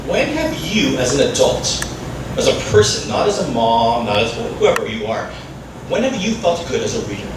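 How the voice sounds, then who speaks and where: A man's voice: mid-range voice, big pitch swings, normal speed. A man from the Philippines and Canada, a native Filipino speaker, gives an interactive, conversational talk with self-deprecating asides and frequent check-in questions. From born in the Philippines, moved to Canada when very young. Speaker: education workshop presenter. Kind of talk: interactive live presentation to parents and educators. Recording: echoey room, far from mic, clear noise.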